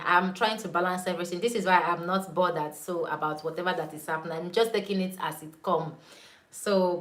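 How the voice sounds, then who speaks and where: A woman's voice: medium pitch, a bit bright. A young woman with a Nigerian accent, whose first language is Igbo, chatting casually on a livestream, laughing often and greeting viewers by name. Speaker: YouTube host. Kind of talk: casual livestream chat reading viewer comments.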